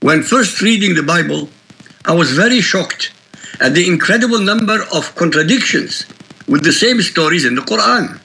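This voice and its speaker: A man from Iraq with an Iraqi Arabic accent, a native Arabic speaker, answering interview questions emphatically and with forceful repetition. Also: sits high, animated, normal speed, gravelly, slight background noise, low-quality recording. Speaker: guest author.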